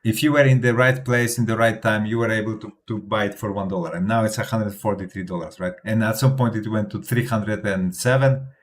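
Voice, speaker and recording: Host, male, low-ish voice, confident rambling crypto livestream chat. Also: steady tone, brisk, few pauses. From Poland. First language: Polish. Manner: rambling, self-assured, laughs often, restarts words. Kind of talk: casual livestream commentary.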